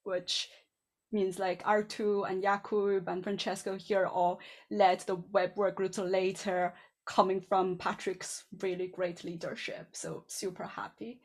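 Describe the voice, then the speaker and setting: A woman's voice: medium pitch, flat delivery, measured pace, darkish tone. A woman introducing herself as a board candidate at an online meeting, speaking with enthusiasm and frequent fillers.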